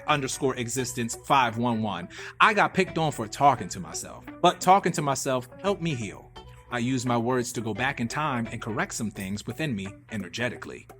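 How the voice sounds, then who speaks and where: A man's voice: medium pitch, animated, moderate pace, fairly bright tone, faint music behind. A man hosting a live radio show with playful, slangy, repetitive banter.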